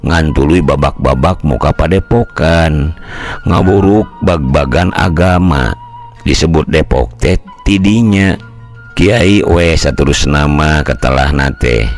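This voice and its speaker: A man's voice: extremely low pitch, slow, soft background music. An older man with a West Javan Sundanese accent, telling a folk tale in a colloquial, conversational way. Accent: Sundanese-speaking West Java. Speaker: storyteller. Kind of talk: traditional folk tale narration with acted dialogue.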